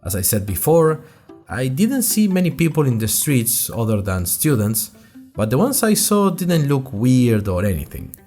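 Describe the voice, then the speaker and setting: A man's voice: medium pitch, sing-song, normal speed, dark tone, soft background music, close to an everyday voice. A man playing an earnest young college student who tells his story in a wry, conversational way, as host of an audio drama diary. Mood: upbeat. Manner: conversational, wry, addresses listeners directly. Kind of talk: scripted first-person audio drama narration.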